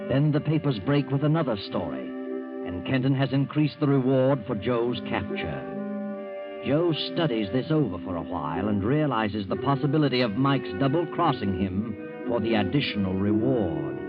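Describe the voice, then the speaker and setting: A man narrating a 1940s radio drama in a measured, dramatic manner. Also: medium pitch, expressive. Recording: muffled 1940s radio broadcast with loud music.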